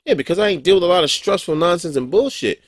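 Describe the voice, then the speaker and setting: A man's voice: high voice, lively intonation, fast, no room sound, clean studio sound. A man in his forties giving a casual, rambling monologue as a vlogger, blunt and profane, with frequent chuckles and restarts. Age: 40s.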